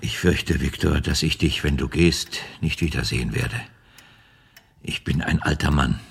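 An actor playing an anguished, pious old father in a radio drama, halting and imploring. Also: rumbling low, gravelly, a bit bright, slightly rough, heavily acted. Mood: pleading, sorrowful. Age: older. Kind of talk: German radio drama acting. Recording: studio radio play with music underneath.

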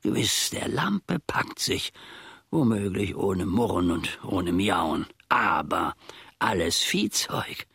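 An actor playing an aggrieved, brooding old manservant in a theatrical radio play, with stilted archaic diction and sudden drops into whispering. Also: male voice, sits low, lively intonation, slow pace, creaky, a bit bright, husky, dry recording, studio quality, full character voice. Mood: sullen, conspiratorial. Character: aggrieved, brooding old manservant scheming against his master.

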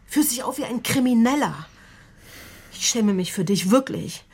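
Anxious, husky-voiced woman, higher pitched. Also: animated, normal speed, a little mellow. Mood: angry, ashamed. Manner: urgent, reproachful. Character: anxious, scolding working-class woman, pleading and easily cowed. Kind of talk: naturalistic radio crime drama acting.

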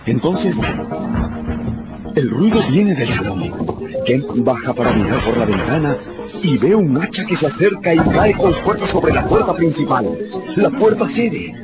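A man's voice: on the high side, big pitch swings, a little slow. A man narrating a scripted story for radio in a dramatic storytelling style. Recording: dull sound with music behind.

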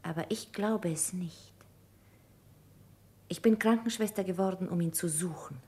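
An actress in a German radio play playing a sorrowful, composed nurse with a hushed, restrained delivery. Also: female voice, fairly low pitch, a little slow, lightly acted. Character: sorrowful, composed female nurse, quietly resolute, married.

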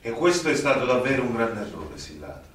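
An actor playing a stern, indignant young magistrate in a radio play, with an emphatic, commanding delivery. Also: male voice, mid-range voice, big pitch swings, brisk, fairly bright tone. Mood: reproachful, wistful. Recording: very echoey, distant, faintly noisy recording.

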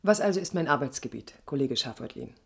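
A woman's voice: a bass voice, animated, fast, gravelly, breathy edge, exaggerated voice. Outspoken young female architect. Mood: businesslike. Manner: direct, articulate, challenging. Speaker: actor in a radio play. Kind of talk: 1980s East German radio drama acting.

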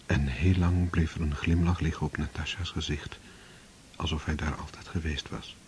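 A man narrating a radio play in a measured, literary manner. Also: extremely low pitch, steady tone, a little mellow, slight background noise.

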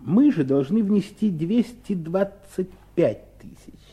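A husky, animated stern husband. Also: male voice, high voice, takes it slowly, full character voice. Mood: explaining, insistent. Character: stern, impatient husband and calculating businessman brother. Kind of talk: 1970s Soviet radio play acting.

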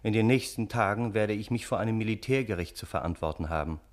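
An actor playing a wry, long-suffering, courteous gentleman who narrates a radio play. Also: fairly low pitch, quick pace, natural voice. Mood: wry, measured. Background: balalaika music.

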